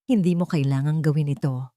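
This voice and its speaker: A woman's voice: sits low, steady tone, fairly quick, dark tone, no echo, clean studio sound, lightly acted. A woman with a Filipino accent playing an emotional, long-hurt young mother in a romance story. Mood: trembling, hesitant.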